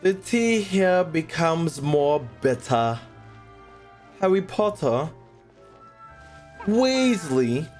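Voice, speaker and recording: A man's voice: a little high, sing-song, very slow, long pauses, a little mellow, soft background music. A man doing casual, slangy, joking live commentary as he streams a game.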